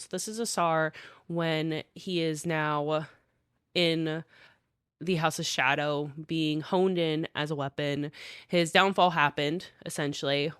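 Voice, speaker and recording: A woman co-hosting a book podcast, engaged and recapping the story in a steady, explaining way with occasional fillers. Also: low voice, clean tone, dry recording, studio quality. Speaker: podcast co-host.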